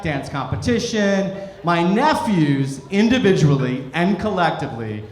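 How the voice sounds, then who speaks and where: American guy doing standup, voice kinda high and swoopy, echoey club mic with some noise. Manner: animated storytelling with acted-out quotes and deliberate pauses. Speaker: stand-up comedian. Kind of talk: live stand-up comedy routine. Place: indoor comedy club stage. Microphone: stage microphone.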